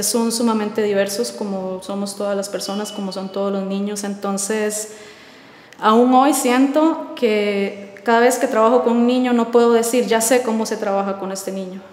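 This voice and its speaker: A woman, answering interview questions thoughtfully with many filler pauses. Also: a little high, a little mellow. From Costa Rica. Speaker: artist in residence. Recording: roomy echo with long reverb.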